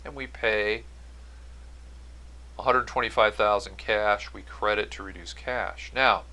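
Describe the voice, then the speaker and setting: A man's voice: fairly low pitch, unhurried, sharp tone. A man giving an explanatory accounting-style lecture as an instructor, going step by step and stressing key phrases. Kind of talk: explanatory lecture over on-screen notes.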